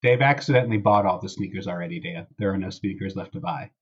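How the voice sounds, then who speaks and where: A man's voice: low voice, fast talker, husky. A man hosting a casual podcast in a wry, informal way, recorded through a wireless earbud microphone. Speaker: podcast host. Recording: dull, lo-fi wireless earbud audio.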